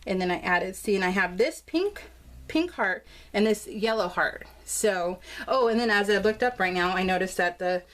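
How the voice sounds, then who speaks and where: A woman's voice: mid-range voice, animated, moderate pace, a bit bright. An American woman chatting casually and unscripted as a crafting vlogger, with a bubbly, gushing, rambling manner. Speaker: crafting vlogger showing scrapbook pages.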